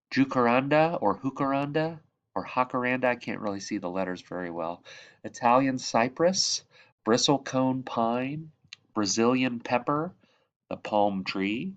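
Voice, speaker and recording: A man reading a children's picture book aloud in measured phrases that drop softly at the ends of sentences. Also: mid-range voice, muffled recording. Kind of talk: read-aloud storybook narration.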